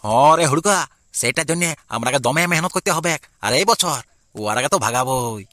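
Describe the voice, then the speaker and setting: A man's voice: mid-range voice, expressive, a little fast, pushed and bright, dry recording. A man playing a brash, boastful older village lad in an amateur cartoon, with a Purulia Bengali accent. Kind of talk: amateur cartoon comedy dialogue.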